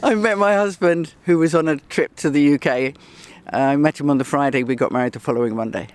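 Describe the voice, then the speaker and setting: A woman's voice: sits low, fast talker, a little mellow. A woman in her sixties from England with an English accent, chatting as an interview guest on an outdoor bench, laughing often and poking fun at herself. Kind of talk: casual chat.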